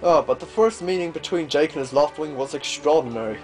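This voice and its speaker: A man's voice: a little high, a little fast, darkish tone, close to an everyday voice. Guy doing a kindly old-ish father in a fantasy game, reflective storyteller, music playing behind. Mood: fond, nostalgic. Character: gentle, wistful older father, fond storyteller.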